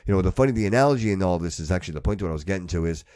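A man's voice: sits low, lively intonation, rapid-fire, warm tone, dry recording. A man hosting a podcast in casual, emphatic, slangy chat, often repeating himself for stress. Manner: slangy, repetitive, addressing listener as bro and dude. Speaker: podcast host.

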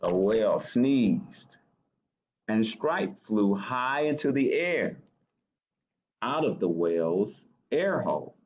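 An American man in middle age reads a children's picture book aloud at an unhurried pace. His pitch swings expressively as he stops to ask little questions. The webcam recording sounds slightly dull. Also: sing-song, darkish tone. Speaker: library storytime reader. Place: small office, indoors.

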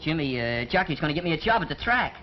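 An actor playing a brash young street kid who dreams of becoming a jockey, talking tough slang in 1940s film style. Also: male voice, fairly high pitch, sing-song, fast. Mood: boastful. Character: cocky street kid set on being a jockey. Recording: dull old film soundtrack with hiss.

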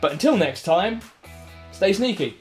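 A man's voice: high voice, very animated, unhurried. A man in his thirties talking in a casual, chatty, enthusiastic way to camera as a video host, giving recommendations. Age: 30s. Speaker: host of an online video show. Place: small furnished room indoors. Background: background music.

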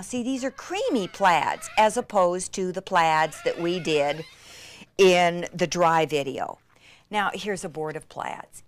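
An excitable, gushing woman giving an enthusiastic crafting tutorial, with breathy exclamations and soft, near-whispered asides. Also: mid-range voice, no room sound. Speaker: craft demonstrator and presenter.

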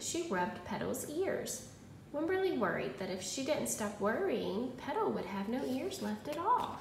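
A woman with a high, very animated voice talks to young children like a teacher at storytime. She is expressive and clearly instructive. The room adds noticeable echo around her. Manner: expressive, instructive, prompting listeners with questions. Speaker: primary school teacher. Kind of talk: picture-book read-aloud for young children. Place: indoors in a room at home. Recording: roomy echo, faint background noise.

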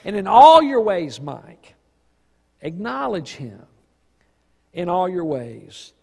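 Male preacher, fifties, high voice, emphatic and folksy sermon delivery, long church reverb. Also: very animated, vocal fry, darkish tone, breathy edge. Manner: emphatic, folksy, with pauses for emphasis and rhetorical questions. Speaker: pastor. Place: church sanctuary stage at a lectern.

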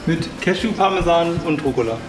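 A man's voice: fairly high pitch, expressive, fairly quick. A cheerful, chatty man in his thirties filming a vlog in a tiled restaurant kitchen on a handheld camera, laughing often. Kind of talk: casual vlog chat. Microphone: handheld camera mic. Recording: echoey kitchen with loud clatter.

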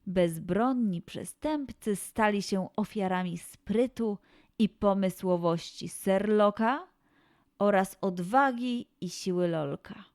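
A woman narrating a children's story slowly and expressively. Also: mid-range voice, dry recording, clean studio sound. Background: soft background music.

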